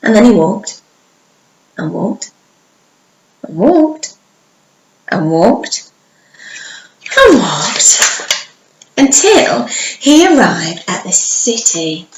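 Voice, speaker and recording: A woman reading a children's story aloud like a primary teacher, with dramatic pauses and drawn-out words for young listeners. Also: mid-range voice, sing-song. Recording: echoey room, faint background noise.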